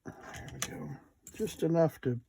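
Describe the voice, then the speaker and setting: A man hosting a casual hands-on repair video, unhurried and murmuring to himself as he works. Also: on the high side, gravelly, very noisy. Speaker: host of a repair video channel.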